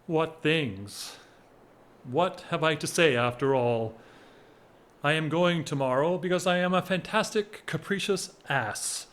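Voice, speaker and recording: An actor playing a whimsical, lovesick English gentleman of forty-one in a period audio drama, wry, measured and self-mocking. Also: a little high. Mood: wistful, restless.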